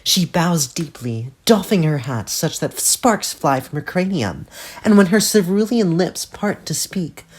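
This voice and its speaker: Woman acting low, husky, regal pirate marquise. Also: expressive. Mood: pompous. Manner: pompous, florid, deliberate. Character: regal, theatrical female alien pirate marquise. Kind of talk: grandiose third-person roleplay narration in audio drama.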